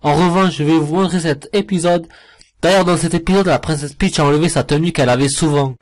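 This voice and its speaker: A man's voice: on the high side, fast talker. A man giving jokey, sarcastic commentary on cartoon clips. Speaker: cartoon reviewer. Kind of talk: mocking commentary over clips.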